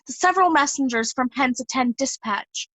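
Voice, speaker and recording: Woman acting noble princess, formal courteous stage verse, high pitch, dry recording. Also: animated, moderate pace, fairly bright tone, no echo, poor audio. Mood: courteous, persuasive. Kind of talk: reader's theatre Shakespeare acting.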